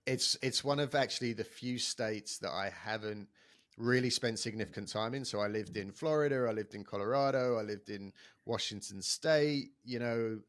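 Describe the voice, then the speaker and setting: A man's voice: fairly low pitch, normal speed, fairly bright tone, no room sound, clean studio sound. A man hosting a podcast, chatty and enthusiastic, talking casually with many restarts. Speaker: podcast host.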